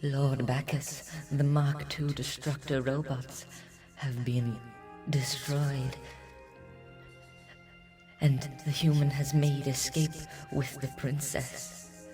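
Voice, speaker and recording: Man voicing a slow, monotone hulking robot. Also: medium pitch, faint music behind, lightly acted. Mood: dutiful report. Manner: halting, clipped broken English. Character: hulking seven-foot Martian destructor robot turned loyal ally. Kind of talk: retro sci-fi audio drama acting.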